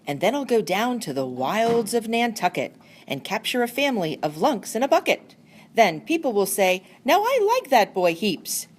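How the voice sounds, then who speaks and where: Very animated woman reading a storybook. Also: mid-range voice, normal speed, a little hiss or hum. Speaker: staff member reading aloud. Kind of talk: storybook read-aloud.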